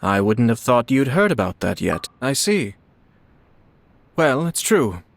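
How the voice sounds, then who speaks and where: An actor playing a polite young noble commissioner in a video game, formal and measured, with a quiet wryness. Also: male voice, mid-range voice, very animated, vocal fry, slight background noise. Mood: composed. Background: background music.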